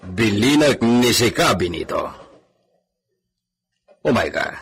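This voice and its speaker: A man's voice: lowish voice, sing-song, slow pace, vocal fry, a bit bright, slight background noise, full character voice. A man with an Ilocano accent playing a commanding landowner in a radio drama. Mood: authoritative. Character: commanding landowner giving orders to his trusted man.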